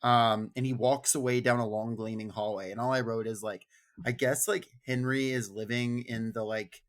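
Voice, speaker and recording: Informal male podcast host, medium-pitched voice. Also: steady tone, normal speed. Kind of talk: casual book discussion.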